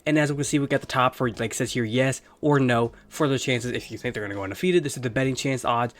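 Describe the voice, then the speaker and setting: A man's voice: medium pitch, fast talker. A young man hosting his own sports talk video, congested from illness, rambling casually and swearing now and then. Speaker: sports channel host.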